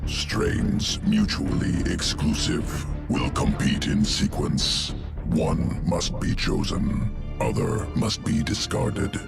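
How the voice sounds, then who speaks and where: Game alien creature, clinical and detached, terse broken phrases, very deep, heavy fry, slow, music under it. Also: male voice, huge pitch swings, heavily acted. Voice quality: deep gravelly rumble. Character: alien male creature, cold clinical gene-shaper.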